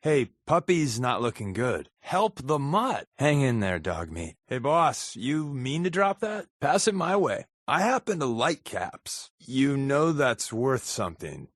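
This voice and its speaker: An actor playing a wry, sarcastic secret agent companion in a post-apocalyptic video game, with a deadpan, wisecracking manner. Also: male voice, medium pitch, unhurried, few pauses, no echo, clean studio sound. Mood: casual, concerned.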